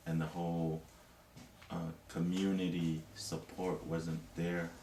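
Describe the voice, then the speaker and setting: A man from Tonga speaks in a low, deep voice. He talks in a casual, reflective way and often searches for words with "you know". He is recorded from a distance in an echoey room, with faint noise behind him. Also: level tone, slow pace, dark tone. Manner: thoughtful, many "you know" fillers. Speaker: interviewee.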